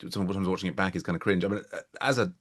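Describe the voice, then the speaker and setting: A man hosting an interview, talking casually and chiming in with quick, eager affirmations and restarts. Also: low voice, steady tone. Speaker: podcast host and interviewer.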